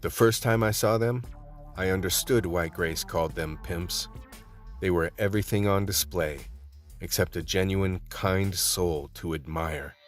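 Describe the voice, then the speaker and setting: Hard-boiled cop narrator played by a man: low, weary, measured, radio play with faint music underneath. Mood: grim, measured. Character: world-weary male undercover vice cop telling his story.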